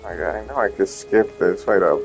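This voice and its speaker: A man's voice: deep voice, moderate pace, creaky, soft background music. A young man from Puerto Rico, Spanish his first language, casually rambling through an update on his ROM hacks, joking and cutting himself off. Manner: rambling, self-interrupting, joking. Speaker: ROM hack creator giving updates.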